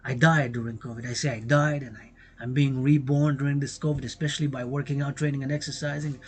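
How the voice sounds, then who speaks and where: A man's voice: mid-range voice, flat delivery, fairly quick. A man from Quebec with a Québécois accent, rambling on a livestream in French and English, repeating himself and breaking into song. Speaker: vlogger. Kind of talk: bilingual rambling livestream monologue.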